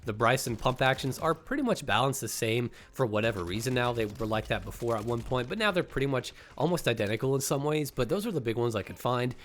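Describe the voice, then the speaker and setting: A male gaming YouTuber with a congested, slightly nasal voice, speaking at a mid pitch. He gives his opinions in a casual, upbeat way. His pitch lifts when he stresses a point. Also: expressive, fast talker, few pauses, a bit bright, a little hiss or hum.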